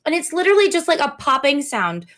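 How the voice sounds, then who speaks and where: A woman whose voice is hoarse from screaming, a streamer chatting openly as an interview guest and laughing at herself. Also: high-pitched, big pitch swings, quick pace, fairly bright tone. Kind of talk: casual interview chat.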